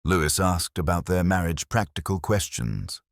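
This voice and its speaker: Very deep male voice, detective fiction narration, measured literary delivery, formal, close dry sound. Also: expressive, gravelly, no echo. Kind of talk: scripted first-person detective story narration.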